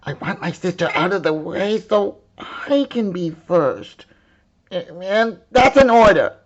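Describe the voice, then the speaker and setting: A man's voice: high voice, animated, slow, lo-fi sound, pitched above the performer's own voice, exaggerated voice. A man voicing a bratty, jealous, bossy little princess in a storybook read-aloud, demanding and imperious. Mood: bossy, impatient.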